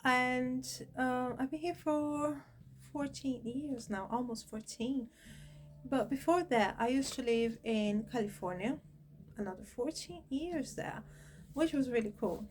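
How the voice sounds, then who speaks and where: Brazilian woman talking haltingly to camera. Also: high-pitched, slight background noise. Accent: Brazilian Portuguese. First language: Portuguese. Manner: hesitant, searching for words, many fillers. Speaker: channel host. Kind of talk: personal talk to camera.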